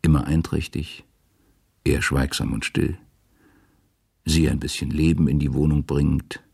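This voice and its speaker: Very deep, gravelly male narrator. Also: little pitch movement, unhurried, warm tone. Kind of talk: scripted literary narration for radio drama.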